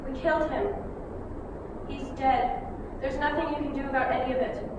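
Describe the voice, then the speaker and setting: Woman playing a blunt closed-off woman, high-ish voice, sounds far off in a big echoey room. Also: moderate pace, noisy background, lightly acted. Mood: guarded. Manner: flat, evasive, curt. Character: guarded, blunt woman accused of killing.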